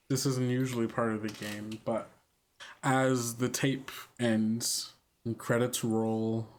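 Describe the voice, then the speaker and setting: A man's voice: medium pitch, flat delivery, slow pace, slight background noise. Informal man hosting a tabletop podcast. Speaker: game master and podcast host. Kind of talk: casual podcast sign-off and chat.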